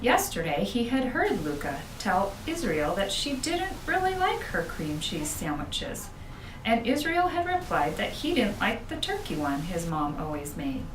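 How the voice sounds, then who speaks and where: Female storyteller for a kids' chapter book, expressive read, recorded roomy and away from the mic. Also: medium pitch, measured pace. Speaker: school principal reading aloud. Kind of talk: children's audiobook narration. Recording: roomy, distant, noisy home-style recording.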